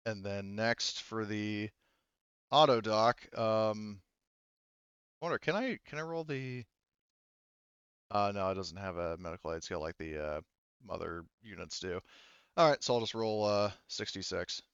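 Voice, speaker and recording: Male game master, smooth lowish voice, thinks aloud haltingly through rules and improvised scene narration. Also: fairly bright tone, no echo, dull audio.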